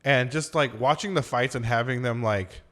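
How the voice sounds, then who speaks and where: A man's voice: medium pitch, moderate pace, a bit bright. A man co-hosting a podcast, talking in a casual, slangy way full of "like" as he reviews films. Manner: informal slangy talk, frequent "like". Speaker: podcast co-host. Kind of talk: casual movie review chat.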